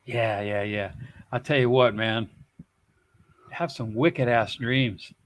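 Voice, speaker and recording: A man's voice: medium pitch, takes it slowly, a little hiss or hum. A man chatting casually on a live stream panel, rambling loosely and cursing offhandedly. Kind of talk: casual chat.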